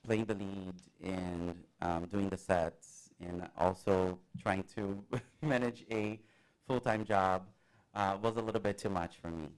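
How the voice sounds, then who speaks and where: A young Brazilian man with a Brazilian Portuguese accent, speaking in a very low, deep voice. He chats casually as a student guest, pausing on fillers and laughing a little as he goes. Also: fairly even intonation, low-quality recording. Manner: rambling, halting with many fillers and laughs. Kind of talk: casual interview chat.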